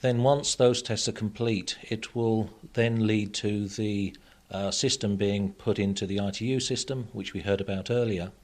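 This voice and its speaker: Male technical expert, slightly low pitch, measured and factual interview delivery. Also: steady tone. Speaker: radio technology expert guest. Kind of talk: explanatory interview answers.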